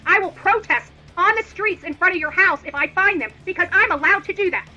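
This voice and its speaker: A woman's voice: extremely high pitch, a little fast, bright, forceful tone, lo-fi sound, faint music behind. A woman livestream host delivering an indignant, opinionated rant in a combative, emphatic and repetitive manner.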